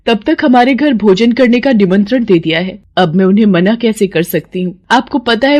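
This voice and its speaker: Female voice actor for a humble village wife, cartoon moral tale: earnest, respectful, beseeching, mid-pitched. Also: darkish tone, natural voice. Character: humble, devout, pleading young village wife. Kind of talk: Hindi moral-story cartoon voice acting.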